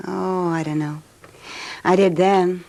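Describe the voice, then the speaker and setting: An actress playing a world-weary, scheming unfaithful wife with a languid, intimate manner in a 1970s radio drama. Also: female voice, fairly low pitch, fairly even intonation, slow pace, gravelly, mellow.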